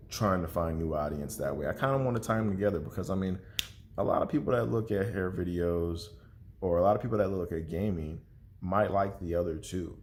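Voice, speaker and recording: A young man with a very low voice, stuffy and congested, chats loosely to his camera. He rambles with many fillers and restarts, and a faint hiss sits under the recording. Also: darkish tone. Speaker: vlogger. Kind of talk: casual vlog chat to camera.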